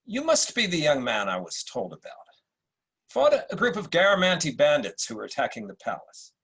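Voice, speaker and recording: An actor playing a wise Roman emperor in a drama read-through, speaking deliberately with weighty pauses like an orator. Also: male voice, fairly high pitch, wildly swooping pitch, fairly bright tone, husky, heavily acted. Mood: probing, commanding. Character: wise, measured Roman emperor, philosophical and probing. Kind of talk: dramatic table-read acting.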